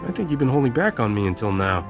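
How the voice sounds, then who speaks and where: An actor playing a cocky, flirtatious smuggler captain in a video game, swaggering and glib with a teasing delivery. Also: male voice, mid-range voice, very animated, fast talker, music in the background. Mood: flirty, glib. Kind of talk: scripted video game dialogue.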